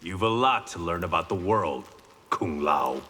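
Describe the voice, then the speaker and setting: A man's voice: sits low, expressive, a little slow, long reverb tail, very noisy. An actor playing a stoic, dry-humored blind swordsman in a fighting game, terse and guarded. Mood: stern, dry. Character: stoic blind swordsman, reformed male criminal, proud and dry. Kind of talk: video game pre-fight banter.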